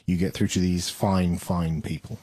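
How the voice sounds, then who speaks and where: A man's voice: sits low, flat delivery, normal speed, warm tone, husky, dry recording. A man co-hosting a podcast in casual, chatty banter about news, with a dry, teasing sense of humour. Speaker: podcast co-host.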